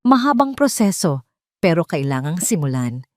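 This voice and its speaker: A woman's voice: lowish voice, big pitch swings, moderate pace, no echo, studio quality. Woman voicing a humbled, earnest young Filipino man. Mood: earnest, remorseful. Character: humbled young male billionaire, earnest and remorseful.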